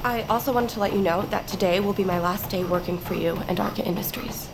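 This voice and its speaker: A woman's voice: medium pitch, quick pace, vocal fry, noisy background, natural voice. A woman playing a composed, resolute corporate employee with restrained, naturalistic audio drama delivery. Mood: hesitant, firm.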